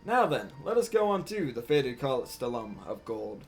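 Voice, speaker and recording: A man's voice: medium pitch, very animated, moderate pace, gravelly. A man voicing a self-important talking cat on a solemn mission from a video game, in archaic, formal words. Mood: pompous, resolute. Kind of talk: reading game dialogue aloud. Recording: music behind the voice.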